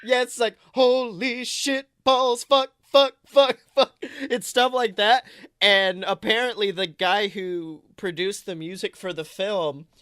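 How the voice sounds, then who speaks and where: A man hosting a podcast in casual, chatty banter, excitable and jokey with theatrical emphasis. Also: high-pitched, slow, clean tone, dry recording, clean studio sound. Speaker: podcast host.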